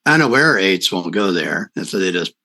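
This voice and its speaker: Male podcast host, quite low pitch with a bright edge, conversational coaching style, affirming. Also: very animated, moderate pace. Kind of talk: conversational coaching commentary on personality types.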